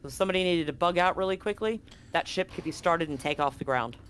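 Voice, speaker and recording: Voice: mid-range voice, quick pace, fairly bright tone, a little hiss or hum. A game master narrating a live-streamed tabletop roleplaying game in an informal, conversational way, thinking aloud.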